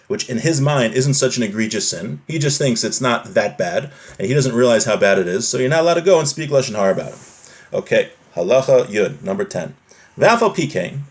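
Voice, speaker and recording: A man giving an informal lesson in religious law, reading Hebrew phrases from a text and explaining them conversationally with rhetorical questions. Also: mid-range voice. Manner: switches between Hebrew quotations and plain explanation.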